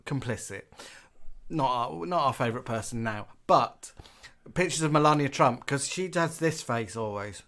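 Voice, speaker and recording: A man from the UK co-hosts a casual live-stream chat. His voice sits at an ordinary middle pitch. He reads out viewers' messages in a chatty, amused way. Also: expressive, normal speed, fairly bright tone. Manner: chatty, reads out comments with a laugh. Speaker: co-host reading viewer comments.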